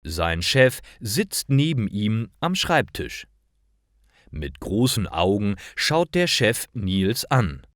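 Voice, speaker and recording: A man reading scripted short stories for language learners in a deliberate, carefully articulated and expressive way. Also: fairly low pitch, no echo, studio quality. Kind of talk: scripted narration, slow storytelling for language learners.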